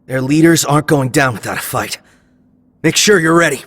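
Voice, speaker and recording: Earnest young cop hero played by a man, slightly high voice, firm and measured, video game drama. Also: fairly bright tone, a little hiss or hum, lightly acted. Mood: focused. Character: young male police team leader, earnest and resolute. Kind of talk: anime-style video game voice acting.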